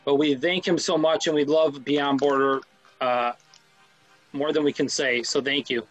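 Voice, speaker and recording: A man's voice: a little high, fairly even intonation, a little slow, poor audio. A man hosting a music podcast, chatting casually and thanking listeners in a friendly way. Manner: friendly, thankful, laughs easily. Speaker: podcast DJ host. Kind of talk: casual radio show chat.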